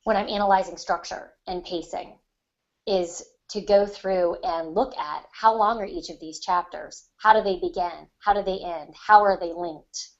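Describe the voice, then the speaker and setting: A woman's voice: lowish voice, normal speed, muffled recording. A woman on a podcast panel talking casually and reflectively about her craft, explaining things at length. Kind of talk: casual discussion of writing craft.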